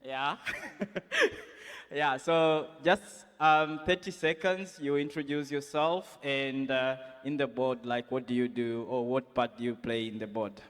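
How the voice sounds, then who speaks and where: Moderator, male, slow and smooth delivery, conference hall reverb. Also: medium pitch, fairly even intonation. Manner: slow, upbeat, joking with the crowd. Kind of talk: moderating a panel session.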